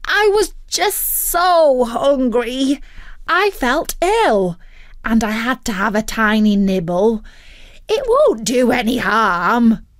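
A woman's voice: sing-song, slow pace, no room sound, clean studio sound. Woman doing a whiny greedy turkey. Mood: sheepish. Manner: pleading, high and wailing. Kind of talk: children's storybook reading.

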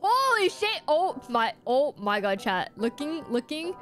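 A young woman game streamer giving casual, excitable, expressive live reaction commentary. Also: high-pitched, unhurried. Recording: game music behind voice. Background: game music.

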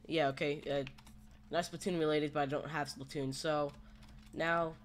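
A man hosting a casual software tutorial, talking in a hesitant, rambling way. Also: a little high, level tone, normal speed. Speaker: tutorial host. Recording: home microphone with background noise.

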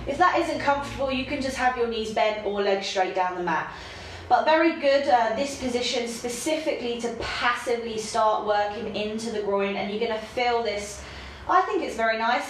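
A young woman with a stuffy nose from hay fever, teaching a casual yoga class at home, chatty with laughing asides, then slow and calm cues. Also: a little high. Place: home room with yoga mat. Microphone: camera across the room. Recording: very echoey room, faint background hiss.

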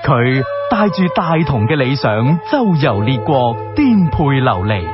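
Loud music swells behind a Cantonese male announcer reading a solemn introduction. He is slow, with wide melodic swings, on a slightly dull radio recording. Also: medium pitch, very animated. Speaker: programme announcer.

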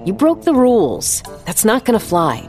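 A woman's voice: fairly high pitch, wildly swooping pitch, moderate pace, darkish tone, soft background music. A woman playing a determined, wry small-town police chief who narrates a dramatized audiobook in a firm, dry way. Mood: firm, challenging. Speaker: narrator and lead character.

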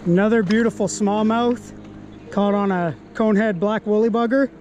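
A young man fly fishing outdoors while wading in a river, excitedly commenting on each catch into a body-worn action camera. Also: sits high, moderate pace, dull audio, a little hiss or hum. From Canada. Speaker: fly-fishing angler and host. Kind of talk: casual outdoor vlog commentary.

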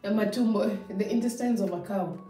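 A woman's voice: medium pitch, fairly quick, roomy sound, distant mic, faint music behind. A young woman, chatting casually and laughing as she tastes food at home. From Kenya. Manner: relaxed, chatty, laughs often. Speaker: vlogger. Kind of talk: casual food-tasting chat. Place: home dining room, seated at a table.

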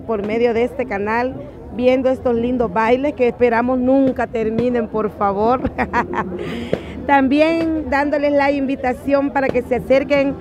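A woman in her forties cheerfully inviting people to her food stall in a street interview, outdoors on a busy city square. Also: sits high. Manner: cheerful, inviting, laughs often. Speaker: food vendor. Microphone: phone camera close. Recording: loud crowd behind. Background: crowd chatter.

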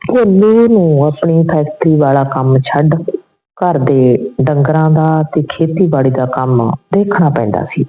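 A woman reading a scripted moral story as narrator, in measured phrases. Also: deep voice, warm tone, rough recording.

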